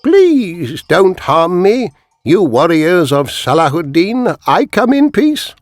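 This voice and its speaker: A timid, bent old shepherd in a children's adventure story, voiced by a male reader. He pleads in a high, drawn-out quaver far above the reader's own pitch. Dry, close sound. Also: big pitch swings, no room sound, exaggerated voice. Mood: pleading. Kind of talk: storybook reading.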